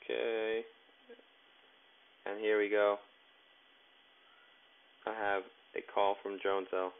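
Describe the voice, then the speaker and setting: A man narrating a step-by-step tech tutorial in a plain, instructional way with halting phrasing. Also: fairly low pitch, level tone, clear, resonant tone. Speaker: tutorial presenter. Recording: tinny, narrow phone-like sound.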